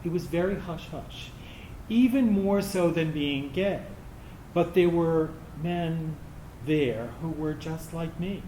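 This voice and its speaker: In an echoey room with faint noise, a man plays an anguished transgender veteran recounting years of hiding. The male voice is fairly high and unhurried, a staged dramatic monologue. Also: dark tone. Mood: pained, earnest. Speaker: actor in a staged reading. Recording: roomy, noisy live stage recording.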